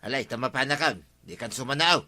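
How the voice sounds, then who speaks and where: A man's voice: mid-range voice, fairly quick, pushed and bright. A man playing a grumbling village woodseller in a comedy radio drama, exclaiming and complaining with an Ilocano accent. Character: grumbling working village man who sells firewood.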